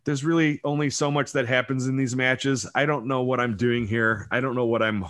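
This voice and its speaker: A man's voice: mid-range voice, steady tone, brisk, a bit bright. A man hosting a casual podcast chat, rambling and self-deprecating, swearing freely. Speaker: podcast host.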